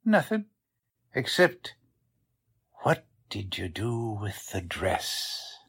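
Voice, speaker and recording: Male actor as a husky, bossy cop sergeant in a radio-style mystery, lively pitch. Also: drawn-out words, exaggerated voice. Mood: interrogating. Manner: bossy, streetwise, working-class grammar. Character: gruff blustering male police sergeant. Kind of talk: amateur audio drama acting.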